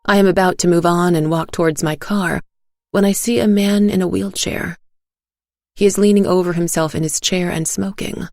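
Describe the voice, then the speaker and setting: A woman narrating a scripted dark story in the first person, in a measured, deliberate way. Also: fairly low pitch, steady tone, dry recording, studio quality. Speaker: narrator of an audio fiction story.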